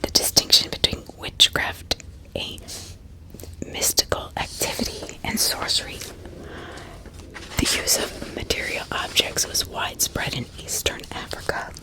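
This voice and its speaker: A woman narrating true crime as an ASMR host in a breathy whisper, pausing often mid-sentence and adding small asides to the listener. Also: rough recording.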